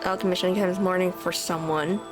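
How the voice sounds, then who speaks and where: A woman's voice: lowish voice, steady tone, normal speed. A woman streamer giving casual commentary as she plays through a game. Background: game music.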